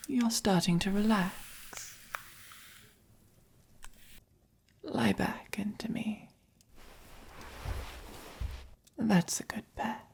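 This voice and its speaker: Soft dom vampire for ASMR roleplay, female performer, English accent, breathy whisper with a creaky edge, unhurried, possessive yet tender. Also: mid-range voice. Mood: soothing, affectionate. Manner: slow, coaxing, possessive. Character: doting, possessive, gently dominant vampire owner of a human pet.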